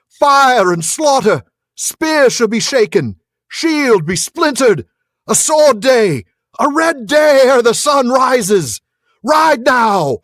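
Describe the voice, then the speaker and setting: A man voicing a fierce old warrior king in a storybook, declaiming loud heroic commands to his riders. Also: extremely high pitch, no room sound, pitched above the performer's own voice, full character voice. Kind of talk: dramatic audiobook reading of fantasy.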